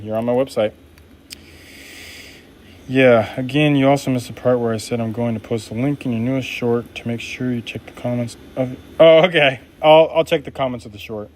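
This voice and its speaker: A man making knives during a live stream, chatting casually and reading viewer comments aloud in an upbeat, laid-back way. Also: mid-range voice.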